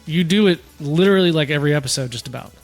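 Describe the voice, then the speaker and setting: A man co-hosting a casual podcast, easygoing and quick to laugh. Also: a little high, faint music behind. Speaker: podcast co-host.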